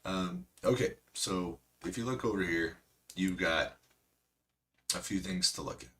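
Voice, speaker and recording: A man hosting a stock trading stream, hoarse and losing his voice, chatty and self-mocking with a cracking voice. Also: sits low, a little slow, sharp tone. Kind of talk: casual market commentary.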